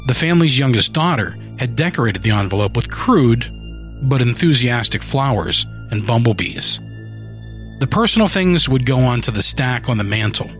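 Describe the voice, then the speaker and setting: A man narrating a horror short story in a measured, steady scripted reading. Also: mid-range voice, faint music behind.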